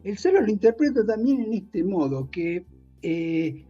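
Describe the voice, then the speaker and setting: A man's voice: high voice, sing-song, unhurried, mellow, lo-fi sound, faint music behind. Man with an Italian-tinged Spanish accent. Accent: Spanish with a strong Italian accent. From Argentina. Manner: thoughtful, searching for words with many restarts. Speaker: guest author. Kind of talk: reflective interview conversation.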